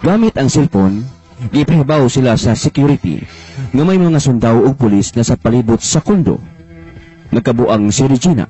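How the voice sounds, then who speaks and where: A husky, dark-toned man reads dramatic scripted narration for a radio drama. His voice is mid-pitched, with light music underneath. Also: animated, normal speed.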